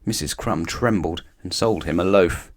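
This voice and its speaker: A man narrating a children's storybook aloud in an expressive read-aloud style. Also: low-pitched, normal speed.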